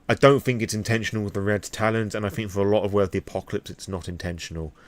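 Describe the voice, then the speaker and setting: A British man with a fairly low voice talks straight to his audience in an unscripted vlog. He is candid and reflective, feeling his way through his thoughts and swearing casually as he goes. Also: fairly even intonation, rapid-fire, dry recording. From Britain. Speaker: vlogger. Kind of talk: impromptu personal talk to the audience.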